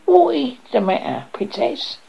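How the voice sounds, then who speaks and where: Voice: medium pitch, wildly swooping pitch, a little slow, vocal fry, warm tone, slightly rough, highs cut off, pitched above the performer's own voice, full character voice. A storyteller voicing a timid, lonely little male frog with a scratchy, pleading voice. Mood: timid, eager.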